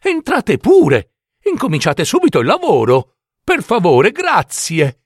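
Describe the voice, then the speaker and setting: A man's voice: a little high, big pitch swings, slow, no echo, studio quality. A man voicing an eager, polite young peasant girl in a fairy tale, courteous and effusive. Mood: eager, grateful.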